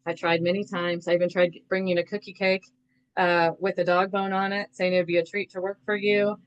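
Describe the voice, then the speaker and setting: A woman's voice: fairly low pitch, flat delivery, fairly quick, lo-fi sound. A woman from the American Midwest, a podcast guest, chatting about her background with many fillers. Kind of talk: casual interview storytelling.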